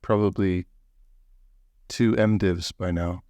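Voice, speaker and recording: A man with a fairly low voice hosts a podcast interview, asking questions in a relaxed, joking way. He tosses in short acknowledgments as his guest talks. The recording is close and dry. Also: slow, no echo, highs cut off. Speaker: podcast host. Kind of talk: casual interview conversation.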